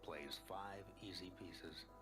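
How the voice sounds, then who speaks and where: A man's voice: low-pitched, takes it slowly. A man narrating a radio advert for a film as its announcer. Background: music.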